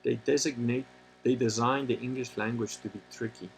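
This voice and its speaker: A man hosting a livestream, talking casually and answering chat questions in a rambling, halting way with many pauses, and sometimes turning blunt and opinionated. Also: medium pitch, fairly even intonation, creaky, slight background noise, rough recording. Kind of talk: casual unscripted monologue.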